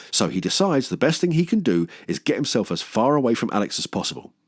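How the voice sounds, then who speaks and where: A man narrates a scripted video essay as the host of a film channel. His voice sits a little low but moves around with plenty of expression. He is emphatic and opinionated, and the sound is dry, with no room around it. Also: no room sound. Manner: emphatic, opinionated, uses colourful turns of phrase.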